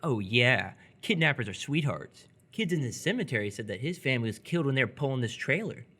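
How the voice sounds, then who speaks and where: A jittery, incredulous teenage boy, played by a man in a radio drama. His voice is rough and husky and jumps around in pitch, moving from halting apology to sardonic protest. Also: big pitch swings, fairly bright tone. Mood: irritated. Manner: hesitant, apologetic, sardonic outbursts. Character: nervous, incredulous 17-year-old boy in 1970. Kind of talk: live radio theatre dialogue.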